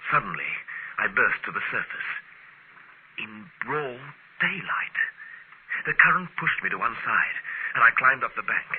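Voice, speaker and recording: A man's voice: low-pitched, husky, heavily acted. An actor playing a resolute male adventurer who leads and narrates an old radio adventure serial in a commanding, dramatic way. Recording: tinny, noisy vintage radio drama recording.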